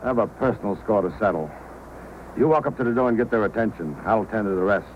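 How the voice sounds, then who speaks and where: An actor playing a vengeful, scheming frontier outlaw in an old-time radio drama, talking hard and folksy. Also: male voice, on the low side, fast, natural voice, rough recording. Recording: old radio recording with music.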